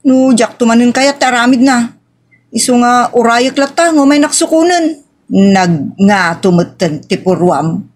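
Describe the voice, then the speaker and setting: A woman's voice: on the high side, lively intonation, measured pace. A stubborn young wife acted by a woman speaks. She sounds aggrieved and insistent, in the manner of a family radio drama. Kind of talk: Ilocano family radio-style drama acting.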